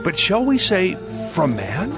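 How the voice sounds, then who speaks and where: An actor playing a challenging religious leader, suspicious and questioning. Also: male voice, high voice, huge pitch swings, takes it slowly, music in the background, full character voice. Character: chief priests, challenging male religious leaders.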